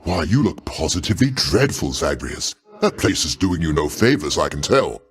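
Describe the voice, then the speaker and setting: An imperious ruler of the gods, a genial uncle with a grand sense of his own authority. His lowish voice sweeps dramatically up and down through formal, pompous phrasing. It is theatrical video game character work, avuncular and commanding. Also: very animated, moderate pace. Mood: gracious, pleased.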